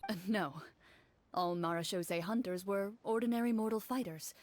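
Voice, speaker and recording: Game cast: woman as a composed swordswoman, mid-pitched and even, calmly explaining. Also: close to an everyday voice. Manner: measured, matter-of-fact explaining. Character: calm, composed young female swordswoman and storyteller. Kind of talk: video game voice acting.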